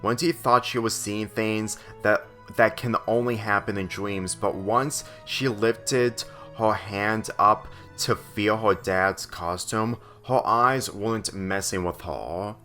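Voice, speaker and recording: Male story reader, unhurried and a bit halting, forceful bright tone. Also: on the low side, fairly even intonation, faint music behind. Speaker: fan fiction reader. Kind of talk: scripted story narration, reading aloud.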